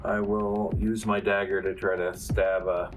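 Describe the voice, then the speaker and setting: A low-voiced man with a very even tone talks casually as a player in a tabletop game. Music plays clearly behind him. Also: a little slow.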